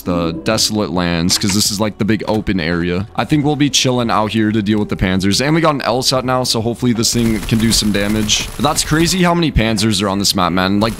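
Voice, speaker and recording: A young American man with a slightly hoarse voice, giving casual, slangy gameplay commentary as the host of a gaming video. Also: fairly low pitch, a bit bright. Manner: slangy, rambling, addresses viewers as boys. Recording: game music behind voice. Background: video game music.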